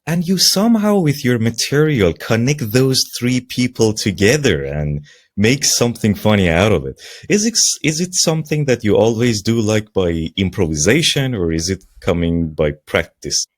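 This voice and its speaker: A man's voice: fairly low pitch, very animated, moderate pace. A man hosting a podcast, asking conversational questions with an admiring tone. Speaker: podcast host. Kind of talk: interview questions.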